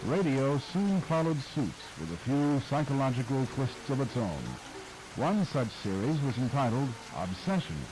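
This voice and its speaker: A man narrating the history of radio drama in a measured, scripted manner. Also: mid-range voice, sing-song, noisy background.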